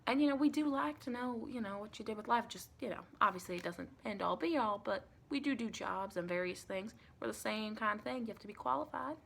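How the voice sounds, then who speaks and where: A soft-spoken woman playing a chatty, caring female vampire intake interviewer in an improvised ASMR roleplay, rambling and reassuring with pet names. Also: fairly high pitch, fairly quick, creaky, few pauses, slight background noise. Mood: chatty, matter-of-fact. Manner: rambling, reassuring, endearments like honey and sweetie.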